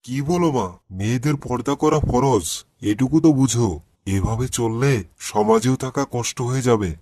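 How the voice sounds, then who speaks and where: A man plays a worried, devout father of middle age in a cartoon. His voice sits at an ordinary male pitch, coaxing and admonishing with patience. The recording has a dry, close sound. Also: moderate pace, no echo, close to an everyday voice. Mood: gently admonishing. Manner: gentle pleading, admonishing. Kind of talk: cartoon dialogue acting.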